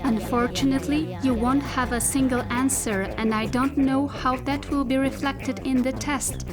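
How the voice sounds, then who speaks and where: A woman playing a patient, detached spacesuit AI in a scripted science fiction audio drama, speaking deliberately and instructively. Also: high voice, steady tone, over music, natural voice. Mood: patient, eerie. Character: female spacesuit AI machine, patient, detached, rambling.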